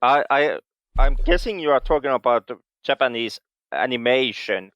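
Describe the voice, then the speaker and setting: A man from Finland, co-hosting a podcast with dry, deadpan humor. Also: mid-range voice, moderate pace, no echo, lo-fi sound. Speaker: podcast co-host. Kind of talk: casual chat.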